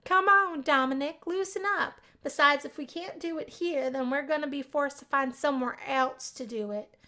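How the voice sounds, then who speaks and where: Woman acting a brash, high-voiced college party girl. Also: normal speed, pushed and bright. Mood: goading. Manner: brash, teasing. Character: lively, teasing, hard-drinking college girl.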